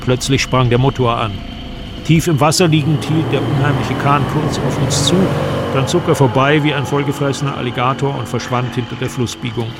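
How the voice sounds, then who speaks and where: An actor plays a male traveller telling of a river journey through the jungle. His voice sits at a middle pitch, in scripted radio drama narration, with clear ambient noise around him. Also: steady tone, a little fast, close to an everyday voice. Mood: measured, suspenseful. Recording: noisy outdoor ambience behind voice.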